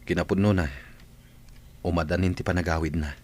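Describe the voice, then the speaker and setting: A man's voice: sits low, steady tone, brisk, darkish tone. A man playing a regretful, earnest young father in a radio drama, with heartfelt, longing delivery. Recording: faint background noise.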